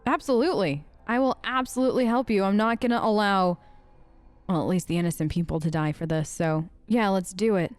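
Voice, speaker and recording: A woman's voice: medium pitch, fairly quick, no room sound. A woman hosting a role-play let's play, improvising her character's lines in casual, earnest, informal talk.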